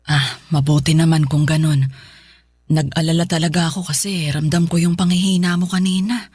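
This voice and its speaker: A woman's voice: deep voice, fairly even intonation, normal speed, darkish tone, husky, dry recording. A woman playing a worried, caring middle-aged mother in a radio drama, speaking with a Filipino accent. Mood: concerned. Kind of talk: Tagalog radio drama acting.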